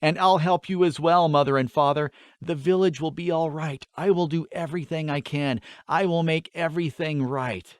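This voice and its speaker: A man's voice: a little high, fairly even intonation, moderate pace, hardly any pauses, no room sound, close to an everyday voice. A man voicing a wounded, determined young hero in a fantasy audiobook, speaking in earnest vows. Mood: resolute. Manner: earnest, vowing.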